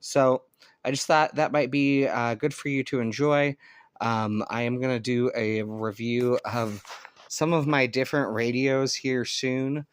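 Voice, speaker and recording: A man's voice: mid-range voice, fairly even intonation, unhurried, smooth, no room sound. A man talking casually and unscripted to viewers as a hobbyist vlogger, in a hesitant, rambling way.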